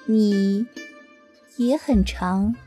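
A woman's voice: medium pitch, steady tone, extremely slow, dark tone, soft background music. A woman voicing a mischievous female beast demon from a fantasy audiobook, coy, impudent and goading. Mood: teasing.

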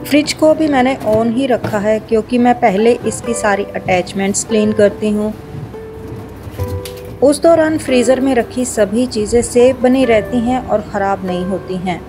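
A woman with an Indian accent giving a calm, step-by-step voice-over for a home cleaning video. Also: a little high, normal speed. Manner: explains each step in a steady how-to tone. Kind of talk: calm scripted voice-over narration. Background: background music.

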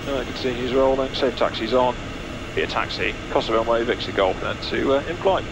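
Creaky-voiced male pilot on a headset. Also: medium pitch, brisk, highs cut off. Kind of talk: cockpit commentary with radio readbacks. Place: small business jet cockpit in flight and taxiing. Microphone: aviation headset boom mic. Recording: constant aircraft cabin noise.

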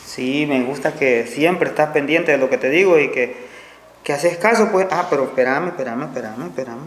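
A young man with a Salvadoran Spanish accent plays a jealous husband in a homemade sketch, swinging between scolding and coaxing. His voice sits a little high. The tiled kitchen rings with echo. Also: normal speed, crowd noise. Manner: bossy, scolding, then sweet-talking. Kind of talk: amateur comedy sketch acting. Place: indoor kitchen. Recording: echoey tiled room.

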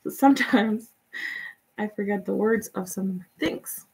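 A young woman from Guatemala whose first language is Spanish, chatting relaxed and giving step-by-step tips in a live painting tutorial. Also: mid-range voice, slow pace, poor audio. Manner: relaxed, chatty, laughs often, answers viewers' comments. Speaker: painting instructor.

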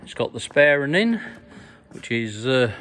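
A middle-aged man farmer giving a casual video diary, talking in a plain, unhurried way with many fillers, filmed on a phone held at arm's length. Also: medium pitch, very animated, fairly bright tone, in a large hall, slight background noise. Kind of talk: casual farm vlog. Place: farm sheds and yard, indoors and outdoors.

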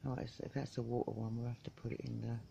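Gravelly guy bantering while he films, outdoors, noisy. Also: mid-range voice, flat delivery, rapid-fire, warm tone. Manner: teasing, joking banter. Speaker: co-host filming. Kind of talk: casual chat. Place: outdoors in a back garden.

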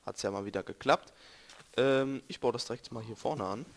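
A young man, a bit hoarse, rambling and joking to viewers while commentating his gameplay. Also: medium pitch, moderate pace. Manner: joking, rambling, self-correcting, talks to viewers. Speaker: let's play gamer.

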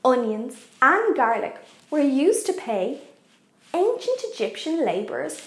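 Animated young woman teaching kids. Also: high-pitched. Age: young adult. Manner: animated, expressive, deliberate for kids. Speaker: kids' cooking show host. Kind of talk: children's educational presenting. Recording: echoey room.

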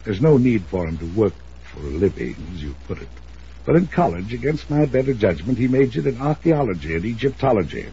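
An actor playing a pompous, controlling older uncle in 1950s radio drama style, short-tempered and given to formal, blustering speech. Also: male voice, fairly low pitch, sing-song, a little fast, creaky, dark tone. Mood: stiff, dignified. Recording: muffled hissy old radio recording.

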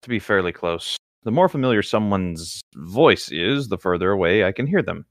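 A man's voice: sits low, animated, normal speed, no room sound, clean studio sound. A man chatting casually and reading a novel aloud among friends. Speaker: co-host reading a book aloud for commentary.

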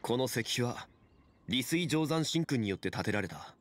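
An actor playing a calm, dignified ancient god in human form in a video game, speaking in a grave, formal, measured manner. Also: male voice, medium pitch, lightly acted. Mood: grave, wistful. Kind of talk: video game character dialogue.

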